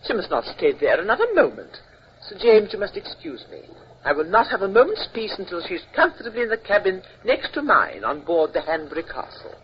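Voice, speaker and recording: An actress playing a domineering, fussing British society countess, gushing and imperious, in a 1950s radio drama. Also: female voice, mid-range voice, huge pitch swings, brisk. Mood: shocked, fussing. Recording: dull, noisy 1950s radio recording.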